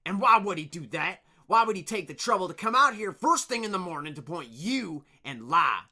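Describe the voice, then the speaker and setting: A man voicing an angry, bossy adult team leader who shouts accusations at workers. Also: mid-range voice, lively intonation, moderate pace, pitched above the performer's own voice. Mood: suspicious.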